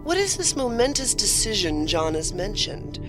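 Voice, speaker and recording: A woman playing the solemn, wry narrator of an anthology of strange tales, with a deliberate, theatrical delivery. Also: medium pitch, very animated, lightly acted. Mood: solemn, intriguing. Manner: deliberate, dramatic. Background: music.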